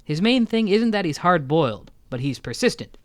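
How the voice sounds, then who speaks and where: A man speaking as an interview guest, an audio drama creator with deadpan joking. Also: high voice, moderate pace, dry recording. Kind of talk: interview conversation.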